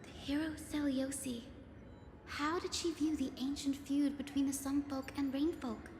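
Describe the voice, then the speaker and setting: A woman's voice: high-pitched, level tone, moderate pace, darkish tone, a little hiss or hum. A woman voicing a learned, composed young priestess in a fantasy video game, formal and articulate. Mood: pensive. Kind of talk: scripted video game voice acting. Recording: echoey reverberant hall, music behind.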